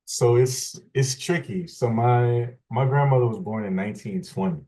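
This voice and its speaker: A man from Louisiana, an interview guest, telling his family history in a measured, explanatory way with frequent restarts. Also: medium pitch, warm tone, poor audio. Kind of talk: thoughtful conversational storytelling.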